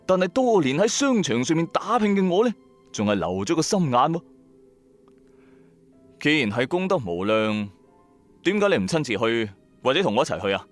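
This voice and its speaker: A man's voice: a little high, sing-song, normal speed, long pauses, soft background music. A man narrating a horror story in the first person, in a colloquial, suspenseful way.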